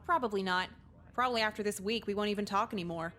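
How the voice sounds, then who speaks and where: A woman voicing a jaded, sarcastic teenage girl in a visual novel game, deadpan and caustic. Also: mid-range voice, quick pace, lightly acted. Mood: deadpan sarcasm. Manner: deadpan, caustic, foul-mouthed.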